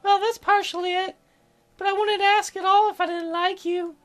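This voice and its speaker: A man's voice: very high-pitched, little pitch movement, normal speed, fairly bright tone, faint music behind, pitched above the performer's own voice. A man voicing a teasing, wistful, stubborn young sick girl in a visual novel, with a hesitant, pleading way of talking. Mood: shy, pleading.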